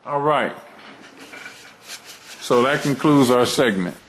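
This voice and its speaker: A man hosts an interview in an easygoing, affirming manner. His voice sits at a middle pitch. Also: slow, a bit bright, soft background music. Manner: conversational, affirming. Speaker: interview host. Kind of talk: casual interview.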